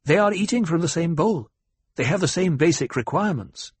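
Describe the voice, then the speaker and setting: A man's voice: a little high, lively intonation, a little fast, husky, no echo, pitched above the performer's own voice. A man voicing a precocious, coolly challenging young nobleman in an audiobook, with a brittle, pointed delivery. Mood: brittle, assured.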